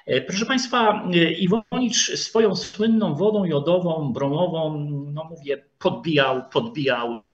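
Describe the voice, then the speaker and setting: A man giving an online lecture with slides, speaking haltingly with frequent drawn-out filler sounds. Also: a little high. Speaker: lecturer, historian. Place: online video call. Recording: dull, lo-fi video call audio.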